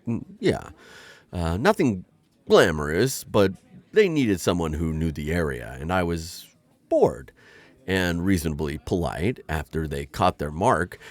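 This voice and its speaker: A man's voice: low voice, no echo. A man voicing a formal, awkward insectoid alien bounty hunter in an ASMR roleplay, talking in a hesitant, stammering way.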